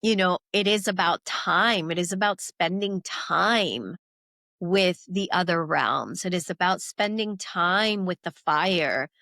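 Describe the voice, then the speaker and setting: A woman's voice: lowish voice, slow, vocal fry, pushed and bright, no room sound, clean studio sound. A woman from Venezuela talking as a guest in a spiritual conversation, in a reflective, loose and conversational way.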